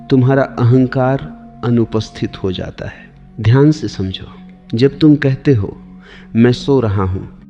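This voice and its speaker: A man narrating a guided sleep meditation as spiritual discourse, giving soothing, deliberate instructions in short phrases with pauses. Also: medium pitch, warm tone. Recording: long reverb, slightly dull, music behind.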